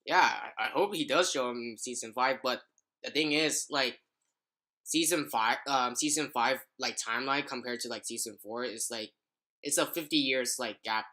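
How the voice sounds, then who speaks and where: Male podcast host, bright tone, loose rambling casual talk full of filler words. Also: medium pitch, normal speed. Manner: rambling, leans heavily on "like". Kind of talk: casual chat.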